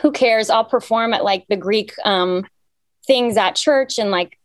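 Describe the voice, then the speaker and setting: A woman's voice: fairly high pitch, measured pace, dry recording. A young woman singer from Cyprus, talking casually and upbeat about her music in an interview, with lots of fillers. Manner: chatty, filler-heavy, upbeat storytelling. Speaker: singer guest.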